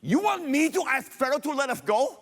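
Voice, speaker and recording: A high, nervous Moses with a Mexican accent and a speech impediment, as a man puts him on. He stammers and rushes his words. It sits in a hall with a long echo. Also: very animated, vocal fry, a bit bright, heavily acted. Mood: pleading, excited. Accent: Mexican Spanish.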